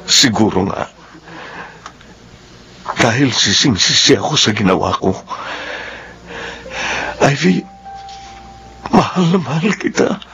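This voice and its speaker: An actor playing a remorseful, heartbroken young lover in a radio drama, earnest and anguished. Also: male voice, medium pitch, big pitch swings, takes it slowly, vocal fry, pauses often, pushed and bright, faint music behind, heavily acted. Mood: remorseful, pleading.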